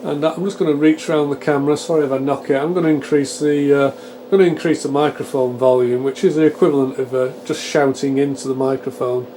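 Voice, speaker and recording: Man, slightly high-pitched voice, informal live technical walkthrough, thinking aloud, clear equipment fan noise in a workshop. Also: fast talker. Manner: thinks aloud, explains step by step. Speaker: presenter of a radio repair channel. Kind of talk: informal technical demonstration, narrated live while working. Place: small home electronics workshop. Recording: steady fan and equipment hum. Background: cooling fans of test equipment.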